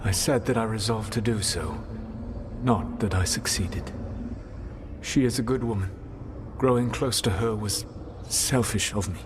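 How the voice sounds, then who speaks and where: A man performs an ancient, proud elven god for a fantasy game. His voice sits low, with measured, formal phrasing and a weary, regretful weight. A noticeable ambient rumble runs behind him. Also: natural voice. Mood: quiet regret. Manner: deliberate, formal, reflective. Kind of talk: dramatic video game acting.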